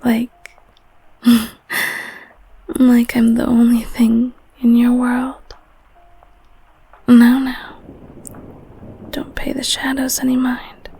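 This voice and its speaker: A woman voicing a loving, clingy, protective girlfriend in an intimate ASMR roleplay, speaking in a soft whispery voice with tender, gentle cooing. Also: a little high, completely flat delivery, drawn-out words, long pauses, slightly rough, dry recording, exaggerated voice, poor audio. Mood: tender, playful. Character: loving clingy girlfriend, secretly eldritch being, soothing and protective. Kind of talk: ASMR audio roleplay, intimate one-sided scene.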